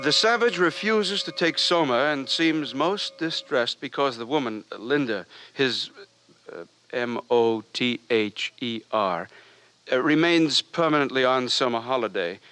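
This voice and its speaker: A man's voice: mid-range voice, a little slow, pushed and bright, smooth. An actor in a 1950s radio drama playing a pompous, fussy, anxious male official of future London. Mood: prim, disapproving. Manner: pompous, fussy, hesitant over delicate words. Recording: hissy old radio recording.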